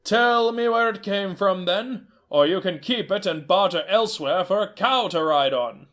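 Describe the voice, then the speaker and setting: A man voicing a stern, suspicious old horse trader who speaks loudly and accusingly. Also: high voice, normal speed, voice pushed up. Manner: loud, gruff and accusing.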